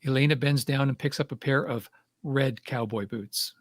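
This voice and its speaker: A man's voice: mid-range voice, moderate pace, no echo. Man reading stage directions, close and dry. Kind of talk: scripted narration.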